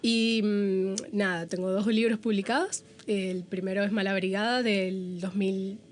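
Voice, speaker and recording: A woman's voice: mid-range voice, steady tone, measured pace. A woman with a Venezuelan Spanish accent, talking casually as an interviewed guest on a podcast.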